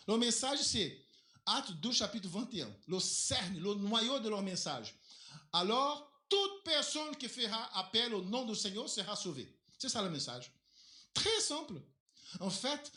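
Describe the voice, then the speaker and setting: A male pastor preaching a sermon in a church, a native Portuguese speaker who asks rhetorical questions and leaps up and down in pitch as he drives his points home. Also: pushed and bright. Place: church hall. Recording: echoey church hall.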